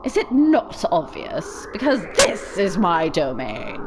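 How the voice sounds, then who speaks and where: A woman's voice: lowish voice, huge pitch swings, slow pace, noisy background, full character voice. A woman voicing a haughty, pompous young male aristocrat student with formal, indignant diction in a visual novel.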